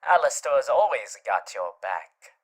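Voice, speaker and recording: An actor voices a gentle male demon with theatrical, old-fashioned charm, made for an ASMR comfort roleplay. His pitch swings widely, yet he takes his time. He is tender and hesitant, often slipping into soothing whispers. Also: big pitch swings, measured pace, breathy edge.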